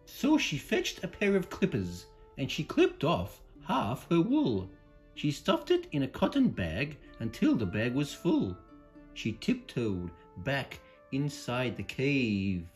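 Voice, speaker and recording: Slow, very expressive storytelling woman. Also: a bass voice, faint music behind. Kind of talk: children's read-aloud narration.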